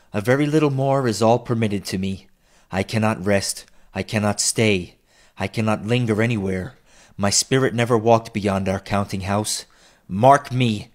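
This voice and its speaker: A man's voice: lowish voice, close to an everyday voice. A man voicing the anguished, remorseful ghost of a dead businessman in a storybook reading, declaiming in a solemn wail. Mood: grave, restless. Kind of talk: dramatic classic storybook reading.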